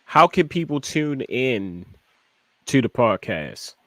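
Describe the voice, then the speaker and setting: A man's voice: mid-range voice, very animated, slow, sharp tone, no echo, clean studio sound. A man hosting a casual interview, laid-back, mostly giving short affirming replies. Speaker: talk show host.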